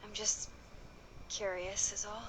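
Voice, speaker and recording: An actress playing a young woman investigator in a video game, asking quiet, probing questions. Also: medium pitch, level tone, takes it slowly, slight background noise, rough recording.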